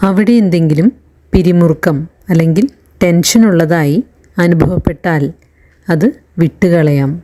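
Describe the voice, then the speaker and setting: Slow, mellow woman guiding relaxation. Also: lowish voice, low-quality recording. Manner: calm, soothing, unhurried with long pauses. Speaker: guided meditation instructor. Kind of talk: slow guided relaxation narration.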